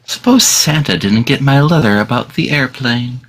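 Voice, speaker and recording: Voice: medium pitch, moderate pace, close to an everyday voice. An adult voicing a worried little boy at bedtime in a live old-time radio play reading. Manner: childlike, worried and questioning.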